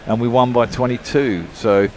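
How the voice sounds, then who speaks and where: A man's voice: mid-range voice, steady tone, moderate pace. A man commentating on cricket in casual chat, thoughtful and loose with filler words. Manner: thoughtful, lots of "you know" fillers.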